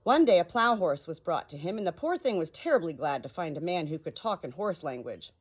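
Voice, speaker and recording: A woman narrating a children's audiobook in an expressive storybook reading style. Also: lowish voice, brisk, highs cut off, slight background noise.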